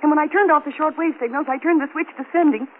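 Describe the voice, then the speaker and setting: Woman acting a high-voiced, anxious captive housewife. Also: quick pace, close to an everyday voice. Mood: relieved, resolute. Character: frightened but resolute housewife held captive. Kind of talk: 1940s radio drama acting. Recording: noisy, tinny old-time radio recording.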